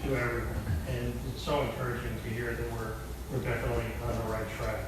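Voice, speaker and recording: A man's voice: mid-range voice, little pitch movement, fast talker. A man from the American Midwest hosting a conversational live interview in an affirming way, with some fillers. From American Midwest, near Detroit. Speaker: interviewer, community resident. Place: live recorded public talk in a roomy venue. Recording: very echoey room, distant, loud noise.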